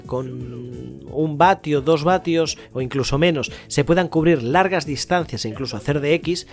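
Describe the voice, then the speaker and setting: A man with a slightly high voice and an accent from Spain hosts an explanatory podcast. He speaks didactically, setting out his points one after another. Faint music plays behind him. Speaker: podcast host. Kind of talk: podcast explainer on amateur radio.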